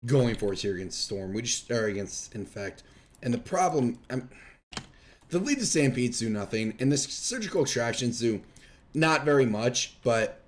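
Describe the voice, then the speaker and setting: A young man hosting casual gameplay commentary while losing his voice from being sick, chatty and self-deprecating. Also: on the low side. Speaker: host.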